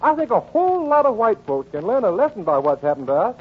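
Folksy dad, friendly and grateful, high voice, tinny 1940s radio drama sound. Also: male voice, very animated, fast talker, breathy edge, heavily acted. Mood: warm, earnest. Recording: tinny, band-limited 1940s radio recording.

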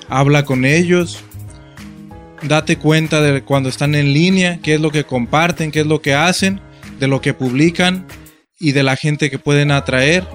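A man giving instructive advice straight to parents as a voice-over. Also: on the high side. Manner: instructive, addressing the listener directly.

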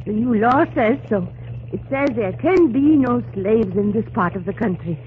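A woman's voice: fairly high pitch, moderate pace, lightly acted. A wide-eyed pioneer wife played by a female actor, spirited and earnest. She speaks with wonder in old radio-drama style, on a muffled recording with faint noise behind her. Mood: joyful.

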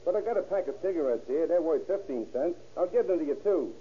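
An actor playing a brash small-time crook who talks in tough, clipped slang, in a 1930s radio drama. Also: male voice, fairly high pitch, animated, quick pace, warm tone, lightly acted. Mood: haggling. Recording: muffled, noisy old radio recording.

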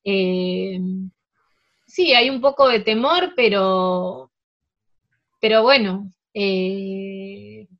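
A woman's voice: mid-range voice, extremely slow, pauses often. Argentine woman chatting in an interview, lots of ehs and restarts, kinda dull lo-fi audio. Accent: Argentine Spanish. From France. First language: Spanish. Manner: hesitant, many fillers and restarts. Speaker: table tennis player, interview guest. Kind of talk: conversational interview answers. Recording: dull lo-fi sound.

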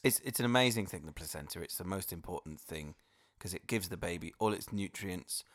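Deep-voiced joking man from Wales. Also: expressive, brisk, fairly bright tone. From Cardiff area, Wales. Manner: joking, wordplay. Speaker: podcast co-host. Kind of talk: casual chat. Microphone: headphones on, studio mic.

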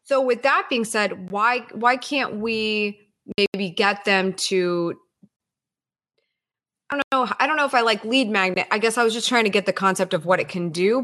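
A woman's voice: medium pitch, a bit bright. A woman coaches a client on email marketing in a casual conversation. She asks pointed questions, thinks aloud as she talks, and sometimes acts out sample emails.

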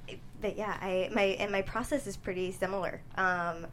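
A young woman answering interview questions as a guest writer, in a halting, thoughtful way. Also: mid-range voice. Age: young adult. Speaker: guest screenwriter and playwright. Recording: steady background noise.

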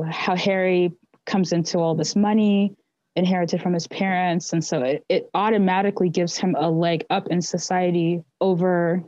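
Low, mellow-voiced woman musing aloud. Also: dry recording. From Zambia, raised in the United States. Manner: reflective, thinks aloud. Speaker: book discussion co-host. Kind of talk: casual book discussion. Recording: dull lo-fi dry recording.

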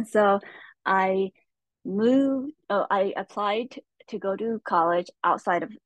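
A young woman from California telling her life story as a podcast guest, soft-spoken and hesitant with many pauses. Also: medium pitch, no echo. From Stockton, California.

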